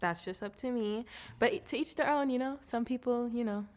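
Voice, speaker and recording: A woman's voice: fairly high pitch, moderate pace, a crowd in the background. Playful young woman, slangy casual chat. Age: early 20s. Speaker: dating show contestant.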